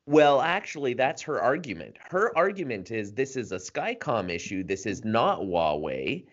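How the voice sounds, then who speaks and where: A man's voice: mid-range voice, sing-song, moderate pace. A man co-hosting a podcast, explaining a news story in a casual chat with frequent ums and uhs. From Canada. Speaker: podcast co-host. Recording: dull lo-fi podcast audio.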